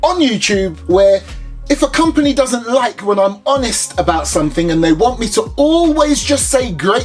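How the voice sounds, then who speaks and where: A British man reviewing airsoft gear as he unboxes it, chatty and jokey, exclaiming over each detail. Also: sits high.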